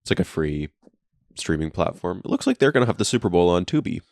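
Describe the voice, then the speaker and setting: A man's voice: deep voice, sing-song, fast talker, creaky, a little mellow, no echo, clean studio sound. A man co-hosting a podcast in casual chat, laid-back and ribbing, with lots of "like" fillers, recorded in a friend's basement. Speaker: podcast co-host.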